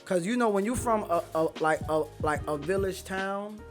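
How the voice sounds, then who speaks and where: A man in his twenties from Haiti, a Creole speaker, telling his story casually as a podcast guest in an animated, slangy, profane way. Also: high voice, moderate pace, faint music behind. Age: 20s.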